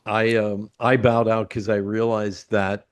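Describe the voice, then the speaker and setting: A man's voice: lowish voice, flat delivery, a little slow, smooth. Man hosting a casual interview. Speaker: interview host. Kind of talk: casual chat.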